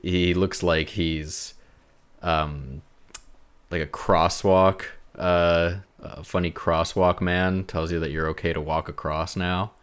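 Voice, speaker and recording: A man's voice: sits low, level tone, slow pace, clean tone. A man co-hosting a podcast in casual banter about video games. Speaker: podcast co-host.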